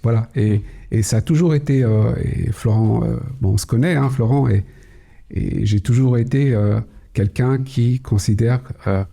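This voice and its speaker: A middle-aged man, native Kabyle speaker, telling his story in an interview, slow and measured with many hesitations. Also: mid-range voice, vocal fry, warm tone. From Algeria, Kabylie. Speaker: guest, cybersecurity head. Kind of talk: podcast interview.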